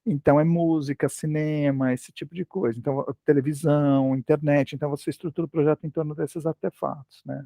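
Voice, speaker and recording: A man's fairly high voice with a gravelly fry runs through his answers, recorded dry and close. He explains teaching approaches conversationally, hesitating and restarting his sentences on a video call. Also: fairly even intonation, a little fast, hardly any pauses, warm tone, dry recording. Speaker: language teaching lecturer. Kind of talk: academic talk, casual explanation.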